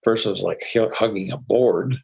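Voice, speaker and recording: An older man, a psychologist guest, telling personal stories in a reflective, unhurried way with soft laughs. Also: fairly low pitch, vocal fry, slightly rough, muffled recording. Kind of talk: conversational interview storytelling.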